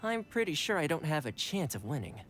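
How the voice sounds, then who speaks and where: An animated young male retainer. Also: on the high side, fairly quick, a little hiss or hum. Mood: admiring, resigned. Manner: animated, respectful. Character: loyal, good-natured young male retainer to a lord. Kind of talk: scripted anime game voice acting. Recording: game music behind the voice.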